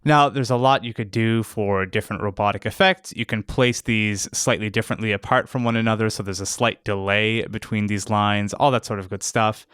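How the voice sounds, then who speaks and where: A man with a smooth, clear and fairly low voice narrates a casual how-to video. The recording is close and very dry. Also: fairly even intonation, brisk, few pauses, fairly bright tone, no echo. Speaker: tutorial host, sound designer.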